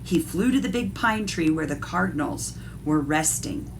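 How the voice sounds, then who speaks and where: A woman's voice: sits low, expressive, moderate pace, slight background noise. A woman art teacher reading a picture book aloud expressively and explaining things to young listeners. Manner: instructive, addresses young listeners directly.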